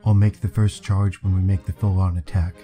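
Man playing stoic young game mercenary, lowish voice, serious, guarded, music behind. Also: level tone, fairly quick, dark tone, lightly acted. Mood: brooding. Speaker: fan dub voice actor. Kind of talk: video game fan dub.

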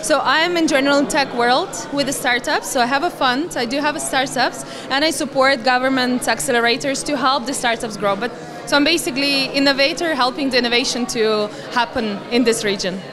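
A woman's voice: sits high, fairly quick. A woman from Latvia in her thirties, giving earnest answers in a conference interview, speaking into a handheld mic. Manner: earnest, slightly non-native grammar. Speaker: guest, fund managing partner. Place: conference hall, in front of a banner wall. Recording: busy conference crowd behind. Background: crowd chatter.

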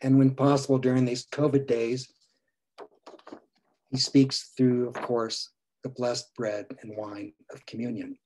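A priest in his sixties preaching a gentle sermon from a home study over a video call, his phrases trailing off softly. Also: medium pitch, flat delivery, unhurried, rough recording. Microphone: video call webcam.

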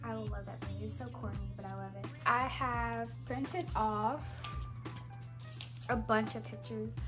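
A young woman with a soft voice chatting casually to camera in her bedroom, rambling with giggly asides, on a clip-on mic. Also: fairly high pitch, steady tone, unhurried, over music. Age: young adult. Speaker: vlogger. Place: bedroom, indoors. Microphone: clip-on mic after the first part.

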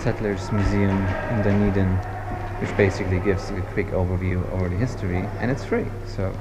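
A man's voice: deep voice, fairly even intonation, fairly quick. A young man, speaking casually and informally as he narrates a travel vlog on an action camera. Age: young adult. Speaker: travel vlogger. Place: out and about: streets, museum, car. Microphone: action camera at arm's length or mounted. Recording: dull action-camera audio, loud ambient noise.

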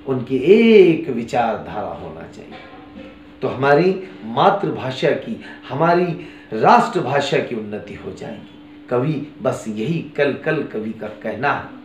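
A middle-aged man teaching a lesson on a poem to students, talking earnestly and repeating key phrases, on a lapel mic. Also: medium pitch. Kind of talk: classroom lesson explaining a poem. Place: studio with green screen background. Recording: roomy studio with faint noise.

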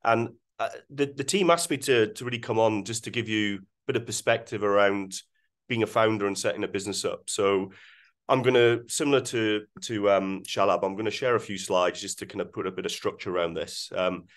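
A man's voice: lowish voice, fairly quick. A Scottish man in his forties presenting on a video call, speaking with many ums and restarts. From Scotland. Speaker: webinar guest speaker. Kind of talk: presenting slides in a webinar.

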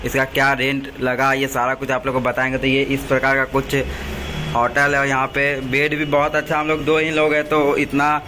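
A man's voice: medium pitch, completely flat delivery, normal speed. Young man, chatty travel vlogger, handheld phone, busy street din. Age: young adult. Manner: chatty, addresses viewers as guys. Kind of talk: casual travel vlog narration. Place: streets, hotel room and taxi in a city. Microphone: phone camera held at arm's length. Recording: loud traffic noise and crowd.

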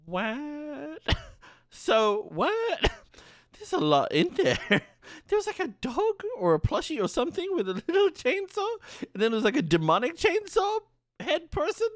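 A man's voice: extremely high pitch, huge pitch swings, unhurried, dry recording, studio quality. A young man streaming a reaction, talking casually, exclaiming and laughing a lot as he comments on what he sees. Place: dim home streaming room with colored lights. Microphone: headset. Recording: loud music under the voice. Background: anime music playing.

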